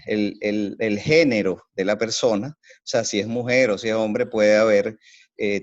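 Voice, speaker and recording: Male podcast co-host, somewhat deep, thinking aloud with deliberate, searching phrasing; slightly dulled, close dry sound. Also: no room sound. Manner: deliberate, halting, searching for words. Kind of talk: conversational opinion discussion. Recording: dry, slightly dull audio.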